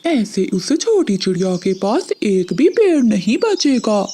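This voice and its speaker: Woman playing a slow, mellow male cartoon bird. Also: medium pitch, big pitch swings, slightly rough. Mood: scheming. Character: earnest adult male bird, husband or worried father. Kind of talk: Hindi children's cartoon voice acting.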